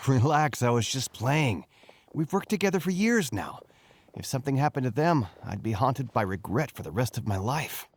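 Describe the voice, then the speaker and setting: Lively, easygoing male guard played by actor. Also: mid-range voice, moderate pace, lightly acted. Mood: playful. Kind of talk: video game voice acting.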